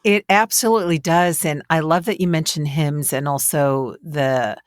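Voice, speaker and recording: A woman's voice: deep voice, moderate pace, no room sound, studio quality. A middle-aged woman hosting a casual interview in an effusive, encouraging way. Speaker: podcast host.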